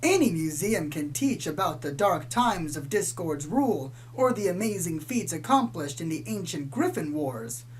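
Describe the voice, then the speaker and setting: Low-voiced deadpan pony radio host played by a woman. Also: a little hiss or hum, lightly acted, poor audio. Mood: smooth announcing. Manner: measured, deadpan about sinister things. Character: calm small-town pony radio host, blithely reporting eerie doom. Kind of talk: scripted spoof community radio news narration.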